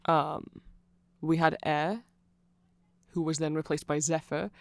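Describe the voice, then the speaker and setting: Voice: medium pitch, little pitch movement, takes it slowly, vocal fry, dry recording. A person of unclear sex giving a rambling ASMR explanation of fan lore, often getting flustered, trailing off and correcting themselves with amused enthusiasm.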